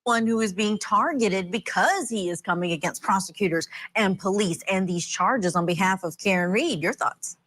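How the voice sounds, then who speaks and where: A woman's voice: mid-range voice, moderate pace, few pauses, a bit bright. A woman presenting television news in a broadcast anchor's style and introducing questions for a panel.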